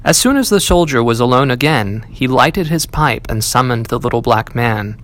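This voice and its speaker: A man reading a fairy tale aloud as an audiobook, in an expressive storybook style. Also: mid-range voice, moderate pace. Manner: storybook delivery, acting out dialogue. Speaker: audiobook reader, library staff.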